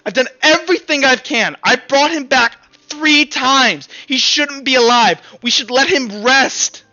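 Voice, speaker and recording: Man voicing an embittered wife, high and forceful. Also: a little slow, heavily acted. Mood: furious, anguished. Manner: forceful, anguished, accusing. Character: embittered, furious wife and grieving mother. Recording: dull lo-fi audio under music.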